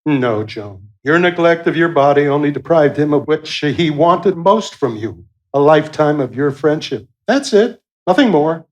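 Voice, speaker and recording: A man performs God for a radio play, in a slightly high male voice. He is wry and affectionate, chiding with a folksy, teasing reassurance. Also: animated, normal speed, hardly any pauses, natural voice. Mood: earnest, gentle.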